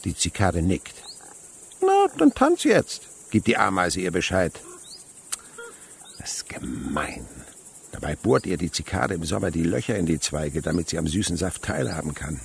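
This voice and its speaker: A man's voice: sits low, wildly swooping pitch, creaky, a little hiss or hum, exaggerated voice. An actor in a German radio play playing an older male naturalist, dreamy and fond of insects, with a poetic, theatrical manner. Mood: musing, lively. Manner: poetic, musing, theatrical, breaks into slow singing.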